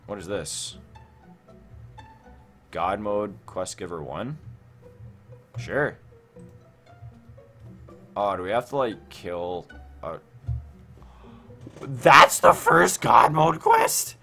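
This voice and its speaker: A man's voice: fairly low pitch, animated, extremely slow, gravelly, long pauses, bright, forceful tone. A young man giving casual gameplay commentary, rambling and thinking aloud as he hosts a gaming video. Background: music.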